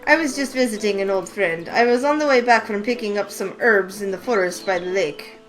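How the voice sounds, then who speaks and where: A woman's voice: mid-range voice, a little fast, natural voice, low-quality recording. A woman voicing a wise, teasing older witch who runs a shop, in a game read-through over faint music. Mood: knowing. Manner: teasing, knowing and calm. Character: wise sly older female witch shopkeeper. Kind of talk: voice acting a visual novel.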